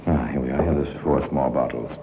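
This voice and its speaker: A man playing a grave, macabre museum guide and narrator in a 1950s radio crime drama, speaking slowly and theatrically with dramatic pauses. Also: a bass voice, fairly even intonation, dark tone, muffled recording. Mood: ominous. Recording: hissy old 1950s radio recording.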